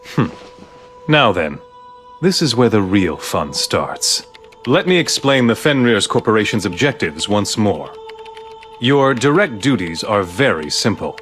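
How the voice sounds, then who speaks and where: An actor playing a stern, dignified branch director in a video game cutscene, formal, measured and commanding. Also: male voice, lowish voice, very animated, fairly bright tone, soft background music. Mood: grave, lecturing.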